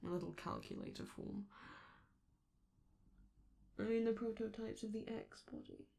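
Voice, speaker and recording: A performer, a woman, playing a vain, affectionate and theatrical robot star in an ASMR roleplay, with halting, doting asides and a creaky voice. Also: on the low side, huge pitch swings, mellow, heavily acted. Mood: chatty, amused.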